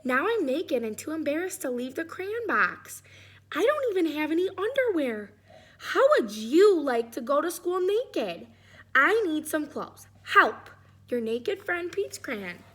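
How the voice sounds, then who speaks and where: A woman's voice: high voice, very animated, unhurried, voice pushed up. A woman voicing an embarrassed, pleading crayon in a storybook, with a plaintive manner. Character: embarrassed, pleading naked Peach Crayon stripped of its wrapper.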